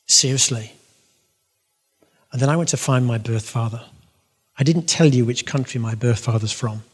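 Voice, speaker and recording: A man giving an animated faith testimony to an audience, telling his story with bursts of loud emphasis. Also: medium pitch, normal speed, husky. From half Syrian. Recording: long reverb of a hall.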